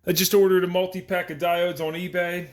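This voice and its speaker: A man's voice: high voice, flat delivery, brisk. Casual plainspoken man explaining a repair. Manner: plainspoken, informal. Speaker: host of a DIY repair tutorial. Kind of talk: casual hands-on how-to explanation.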